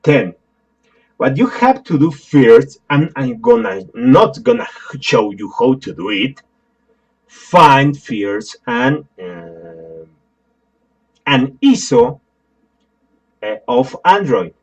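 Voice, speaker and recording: A man with a Spanish accent, a native Spanish speaker, giving a software tutorial in a halting, apologetic way with many fillers and restarts. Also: mid-range voice, very animated, muffled recording. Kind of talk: screen-recorded tutorial.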